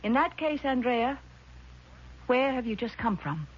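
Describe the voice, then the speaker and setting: An actress playing a composed, doubting sister in a 1970s radio drama, measured, patronizing and patiently insistent. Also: female voice, fairly high pitch, expressive, husky. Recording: muffled vintage radio broadcast, faint noise.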